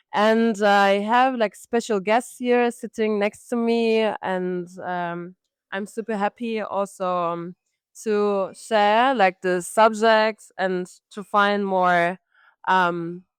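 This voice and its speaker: A woman moderates a live panel talk, pausing often on fillers as she frames her questions. Her voice is very clear and the sound is dry and close. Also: medium pitch, no echo. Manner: hesitant, many fillers and likes. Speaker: podcast host and panel moderator.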